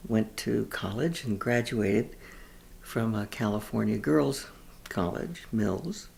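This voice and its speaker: A woman in her seventies from New York, a children's advocate, speaking in a documentary interview with reflective, halting phrasing. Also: very deep voice, gravelly, darkish tone, a little hiss or hum. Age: 70s.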